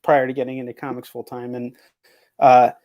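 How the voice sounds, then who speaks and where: A man's voice: mid-range voice, level tone, moderate pace, highs cut off. A man chatting casually as an interview host, speaking bluntly and swearing often. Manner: blunt, frequently profane. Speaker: host, comic book writer and publisher.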